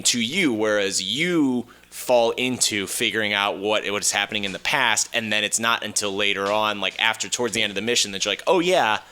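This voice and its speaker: Bright, clear-voiced man in casual discussion. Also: lowish voice. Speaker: podcast host. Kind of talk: casual film and video game discussion.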